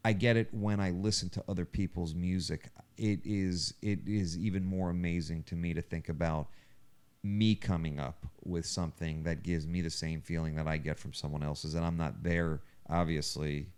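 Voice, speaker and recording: Very deep, clear-voiced man hosting a podcast. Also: fairly even intonation, normal speed, darkish tone. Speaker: podcast host. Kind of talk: casual podcast conversation.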